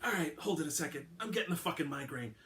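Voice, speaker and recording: Man playing a cranky cussing cop, totally fed up, sharp punchy voice jumping high. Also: animated, quick pace. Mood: exasperated. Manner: blunt, exasperated, swears a lot. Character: gruff, skeptical male police detective, foul-mouthed.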